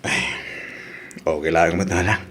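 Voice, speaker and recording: Radio drama: contrite husband, hesitant and pleading, rough husky low voice. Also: male voice, steady tone, a little slow, a bit bright. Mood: downcast, frustrated. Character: remorseful, pleading husband and troubled grown son.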